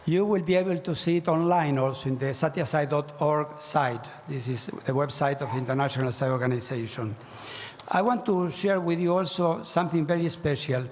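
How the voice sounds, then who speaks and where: A man's voice: on the high side, a little fast, darkish tone, a little hiss or hum. Man exhorting in a big hall. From Argentina. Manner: earnest, exhorting, with fervent rising emphasis. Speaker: speaker at a spiritual gathering. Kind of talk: devotional address to a large audience. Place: large hall. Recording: long reverb of a large hall.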